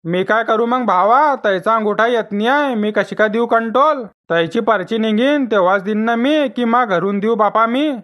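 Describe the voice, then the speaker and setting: A man's voice: high-pitched, normal speed, fairly bright tone. A man voicing a stubborn, dismissive ration shop keeper in a comic cartoon, curt and bureaucratic.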